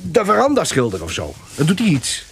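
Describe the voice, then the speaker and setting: A man's voice: on the high side, huge pitch swings, quick pace, heavily acted. A man acting theatrically in a Dutch radio drama as a wary, exclamatory, grumbling companion of an adventuress. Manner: exclamatory, exasperated, mimicking others. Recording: clear background noise with clicks.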